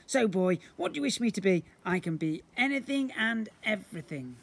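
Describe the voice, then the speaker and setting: A man voicing an excitable, theatrical shapeshifting slime creature in a children's storybook, grandiose and playful. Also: high voice, moderate pace, vocal fry, breathy edge, voice pushed up, exaggerated voice. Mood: grand. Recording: noisy outdoor handheld recording.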